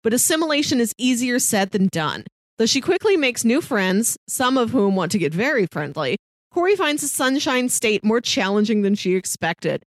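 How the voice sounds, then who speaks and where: A woman's voice: mid-range voice, no echo. A woman co-hosting a podcast, talking casually and reading blurbs aloud. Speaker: podcast co-host.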